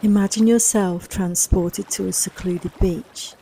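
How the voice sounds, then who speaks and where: A woman leading a guided relaxation meditation in a soft, soothing, unhurried, reassuring manner, with long pauses between phrases. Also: medium pitch, steady tone, a little hiss or hum, low-quality recording. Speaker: guided meditation and yoga nidra teacher.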